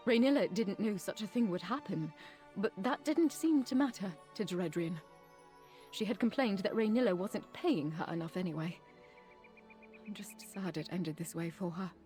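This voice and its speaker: A woman's voice: lowish voice, normal speed, darkish tone, faint music behind, lightly acted. An actress playing a worried, earnest woman who pleads for help in a video game. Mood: worried, regretful. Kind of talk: scripted video game dialogue.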